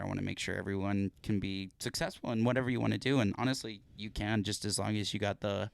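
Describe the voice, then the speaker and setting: A young man with a low, smooth, clear voice, hosting a casual podcast chat. He talks earnestly, rambling a little with restarts and fillers. The sound is close and dry, straight into a podcast mic. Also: no echo. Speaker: podcast host.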